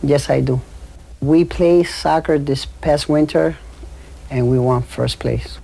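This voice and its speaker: A man with a Mexican Spanish accent talks in a gravelly, dark-toned voice. He keeps his answers plain and brief. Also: fairly high pitch, slow. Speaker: interviewee.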